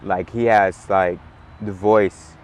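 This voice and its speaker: A man, chatting in a relaxed, rambling way as an interview guest, full of "like" and "you know". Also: low-pitched, takes it slowly, muffled recording. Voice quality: raspy. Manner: laid-back, rambling, heavy on "like" and "you know". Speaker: musician guest. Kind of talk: casual interview chat.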